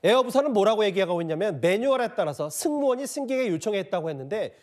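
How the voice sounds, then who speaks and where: A male news anchor with a distinctly high-pitched voice. He leads a studio discussion in a conversational way, asking probing questions and setting up reports. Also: animated, fast talker.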